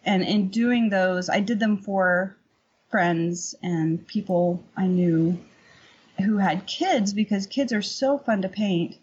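A middle-aged woman with a husky voice, talking candidly and informally as an interview guest, rambling a little. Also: mid-range voice, muffled recording. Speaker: painter, podcast guest. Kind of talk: casual interview chat.

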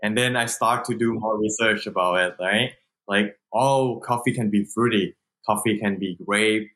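A man's voice: fairly low pitch, steady tone, normal speed, a bit bright. A young Thai man with a Thai accent, chatting in an interview in non-native English, full of "like" and restarts, laughing easily. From Thailand. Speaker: guest entrepreneur. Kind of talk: casual interview.

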